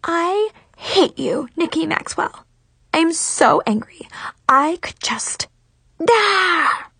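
Spoiled teen diva for a storybook: high, screechy, indignant, wildly animated. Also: female voice, extremely slow, creaky, no echo, full character voice. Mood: seething. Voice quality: shrill, screechy. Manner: indignant, haughty, melodramatic. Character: furious, spoiled, shrieking teenage mean girl.

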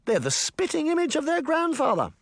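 Male narrator doing a pompous bully mayor: high, swooping, condescending, in a dry close recording. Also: very animated, moderate pace, fairly bright tone, no room sound. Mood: sneering.

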